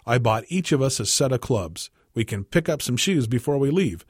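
A man reads the part of a jovial businessman in his sixties from a storybook. He talks in a folksy, emphatic way with a husky voice, recorded dry and close. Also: medium pitch, animated, normal speed, no echo. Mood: animated, joking. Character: jovial 65-year-old businessman twin, game but joking. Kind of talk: storybook dialogue.

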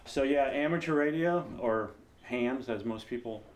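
A man's voice: mid-range voice, moderate pace. A middle-aged man, explaining things informally as an interviewed radio operator.

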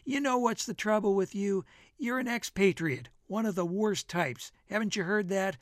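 A reader puts on a brash American man, a wisecracking character from a storybook novel. He is needling and declamatory, pitched fairly high, in a dry studio sound. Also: normal speed, no room sound, close to an everyday voice. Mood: mock-scolding.

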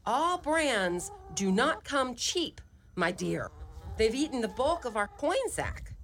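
A woman's voice: on the high side, big pitch swings, slow pace, a bit bright, heavily acted. A woman playing a poor, guilt-tripping old mother in a radio play, with melodramatic, old-fashioned speech. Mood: reproachful. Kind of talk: live radio play acting. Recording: live studio recording, clearly noisy ambience.

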